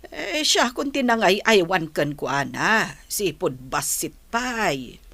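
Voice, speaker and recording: A woman playing an evasive, protective elderly grandmother in a radio drama, speaking hesitantly and haltingly. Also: fairly low pitch, sing-song, gravelly, a bit bright, dry recording, heavily acted. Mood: evasive, flustered. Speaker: voice actor.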